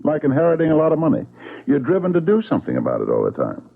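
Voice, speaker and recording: A man's voice: on the high side, wildly swooping pitch, very fast, gravelly, full character voice. An actor playing a menacing, suave man who taunts with mock politeness and lords it over others, in old-time radio drama style. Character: menacing, mocking man lording it over his enslaved friend. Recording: muffled, phone-like old radio sound.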